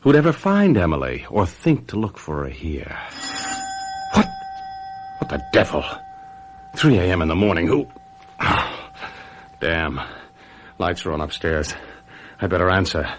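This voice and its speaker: An actor playing a guilty, scheming husband in a radio mystery, brooding and theatrical. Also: male voice, sits low, very animated, a little slow, long pauses, a little hiss or hum. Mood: irritated, curt. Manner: theatrical, brooding, self-justifying. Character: guilty, scheming husband who murdered his wife. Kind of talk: old-time radio mystery drama acting.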